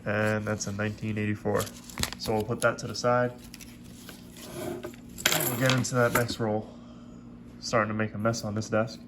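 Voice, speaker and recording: A man with a stuffed-up, congested voice hosting a coin roll hunting video, giving steady, methodical play-by-play from his desk. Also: fairly low pitch, normal speed, pauses often, background noise. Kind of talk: casual hands-on commentary. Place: at a desk indoors.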